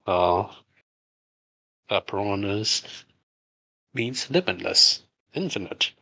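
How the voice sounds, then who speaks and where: A middle-aged man from Hong Kong, a native Cantonese speaker, answers questions in a loose, halting way, full of fillers and restarts. His voice sits a little low but sounds bright and forward. It has a husky, slightly rough edge. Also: low-quality recording. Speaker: game studio founder answering community questions. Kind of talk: casual AMA, reading and answering questions.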